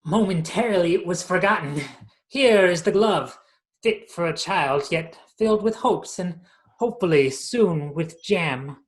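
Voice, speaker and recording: A woman's voice: on the low side, animated, measured pace. A woman acting an earnest, defiant underling in a radio play, with a theatrical, declamatory delivery over a video call. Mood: solemn.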